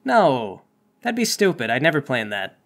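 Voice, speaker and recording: A man's voice: mid-range voice, huge pitch swings, normal speed, gravelly. A man acting as game master, mixing casual banter with narration for the players.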